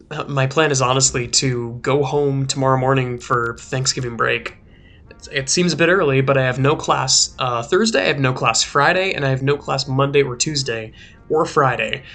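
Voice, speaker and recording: A man's voice: mid-range voice, fairly bright tone. Young guy, rambling, scratchy throat. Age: young adult. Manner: rambling, filler-heavy, self-interrupting. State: recovering from a sore throat. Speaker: let's play host. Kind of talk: casual gameplay commentary. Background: faint game music.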